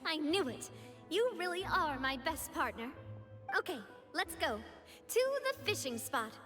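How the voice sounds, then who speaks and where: A young woman character from an anime-style game, high-voiced and cheerful. She talks in a breezy, chatty, teasing way, with music clearly behind her. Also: big pitch swings, unhurried, bright, forceful tone, full character voice. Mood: inviting.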